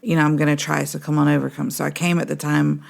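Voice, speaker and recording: Gravelly-voiced chatty woman. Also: deep voice, level tone. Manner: chatty, interjecting, quick to respond. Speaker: podcast co-host. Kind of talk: personal conversation.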